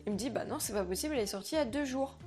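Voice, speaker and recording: A young woman, a French native speaker, chatting to camera in a slangy, animated way, talking about herself as a vlogger. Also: a little high, racing, faint music behind. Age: young adult. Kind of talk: casual chat to camera.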